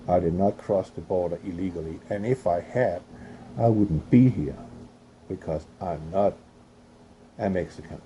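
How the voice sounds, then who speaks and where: A man from Europe reading news aloud in a halting way, stopping to add his own opinionated comments. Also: low voice, warm tone, a little hiss or hum, poor audio. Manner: halting reading with restarts and coughs.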